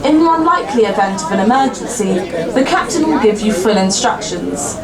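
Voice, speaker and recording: A woman giving a recorded safety announcement on a boat in a measured, formal way. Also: mid-range voice, expressive, roomy sound, over a noisy crowd, rough recording.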